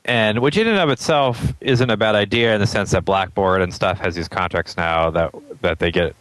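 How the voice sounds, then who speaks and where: A man with a very low, smooth, resonant voice talks as a guest in a casual interview. He is from Atlanta and thinks aloud with plenty of ums and easy chuckles. The sound is dry and close. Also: normal speed, dry recording, poor audio. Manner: relaxed, thinks aloud with many ums, laughs often. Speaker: interview guest, startup founder.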